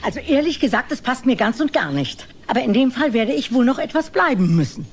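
A woman's voice: on the high side, sing-song, a little fast, slight background noise. An actress playing a nagging, put-out older mother-in-law in a sitcom audio drama, theatrical and indignant.

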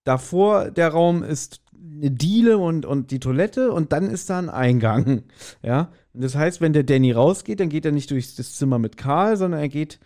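A man's voice: fairly high pitch, lively intonation, moderate pace, warm tone. A man chatting casually as a podcast host, retelling a story in colloquial, slangy language and acting out quotes. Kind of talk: casual chat, retelling an audio drama.

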